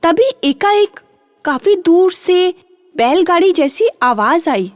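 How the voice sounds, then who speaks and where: A woman's voice: very high-pitched, expressive, slow, no room sound, studio quality, soft background music. A woman narrating a children's bedtime story, repeating and drawing out phrases for drama.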